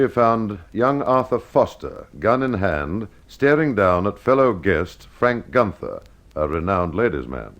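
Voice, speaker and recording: A man narrating a scripted old-time radio mystery as its host, with dramatic, measured storytelling. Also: low-pitched. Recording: dull lo-fi old radio sound.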